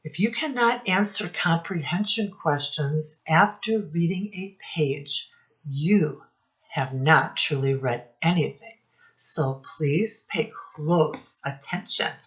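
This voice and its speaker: Lady teacher doing a kids read-aloud, low voice, super slow and deliberate, asks the children questions. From United States. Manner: deliberate, addresses young children directly with questions. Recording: dull lo-fi sound.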